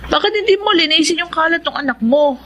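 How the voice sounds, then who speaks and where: High-voiced, scolding young housewife. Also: female voice, lively intonation, fast, fairly bright tone, slight background noise. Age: young adult. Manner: nagging, indignant. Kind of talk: Filipino radio drama acting.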